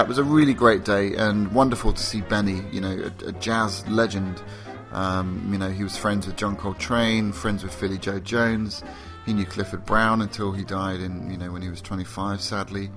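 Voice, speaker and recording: A man hosting a podcast, talking casually and enthusiastically with lots of fillers, a bit sleepy and croaky. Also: low voice. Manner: rambling, enthusiastic, frequent fillers. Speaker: podcast host.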